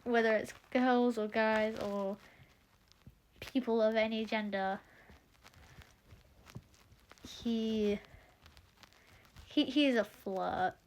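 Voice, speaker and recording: A young woman introducing the cast of her audio drama in casual chat, rambling and a little croaky from being tired. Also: on the high side, steady tone, drawn-out words, pauses often, slight background noise. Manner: chatty, rambling, self-interrupting.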